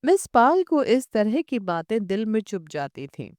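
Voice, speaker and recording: A woman reading a literary short story as an audiobook narrator, in measured, expressive storytelling. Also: a little high, dry recording, studio quality.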